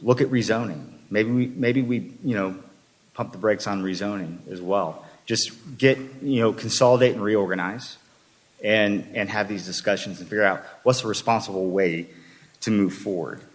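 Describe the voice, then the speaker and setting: Low-voiced retired man giving public comment. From Texas. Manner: measured, lists points one by one. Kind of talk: public comment at a city meeting.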